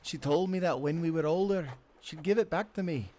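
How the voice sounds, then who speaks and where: A man's voice: fairly high pitch, fairly quick, a little mellow, pitched above the performer's own voice, close to an everyday voice. A man voicing a heartbroken, plainspoken fairytale timberman with a Scottish accent and an earnest manner. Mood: earnest, wistful. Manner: earnest, storybook dialogue.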